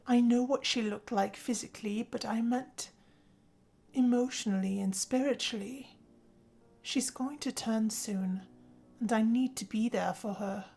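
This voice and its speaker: A woman voicing a gentle, honorable vampire brother in a scripted drama, speaking softly and solemnly with a husky, gravelly edge. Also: a little high, unhurried, heavily acted. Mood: earnest, tender. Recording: music under the voice. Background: music.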